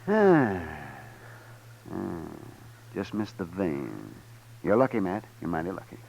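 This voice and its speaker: An actor playing a fussy, kindly old American town doctor in a 1950s radio western, muttering and repeating himself. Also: male voice, sits low, huge pitch swings, slow pace, gravelly, a little mellow, exaggerated voice. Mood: fussing. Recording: faint hiss, old radio recording.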